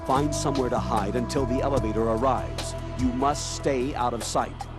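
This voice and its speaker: An actor playing a stern older colonel in a video game, briefing an agent with measured authority. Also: male voice, medium pitch, close to an everyday voice. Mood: urgent, commanding. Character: stern older male military colonel giving orders. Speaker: commanding officer briefing an agent. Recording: loud game music over the voice.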